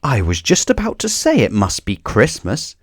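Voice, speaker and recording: A man voicing a muddle-headed, excitable storybook character who exclaims gleefully. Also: medium pitch, moderate pace, dry recording, studio quality. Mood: astonished delight.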